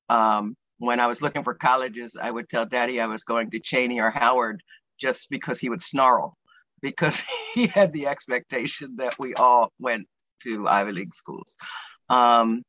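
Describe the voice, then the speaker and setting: A man in his sixties with an American accent recounts memories for an interview. His voice is bright and mid-pitched, recorded dry. He speaks reflectively, often halting to find the right word. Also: no echo, low-quality recording. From Pennsylvania, United States. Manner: reflective, hesitant, pausing to choose words. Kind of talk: oral history interview, personal recollection.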